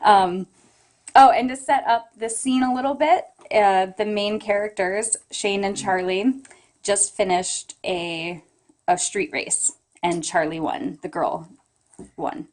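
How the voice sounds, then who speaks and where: A woman's voice: medium pitch, fairly even intonation, takes it slowly. A woman chatting casually, bantering with playful exasperation. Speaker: romance novelist introducing a reading. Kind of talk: casual chat.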